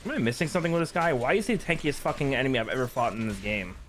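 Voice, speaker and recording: A man's voice: medium pitch, sing-song, racing, a little hiss or hum. A man casually talking while he streams a video game to his chat. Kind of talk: casual livestream gameplay chat.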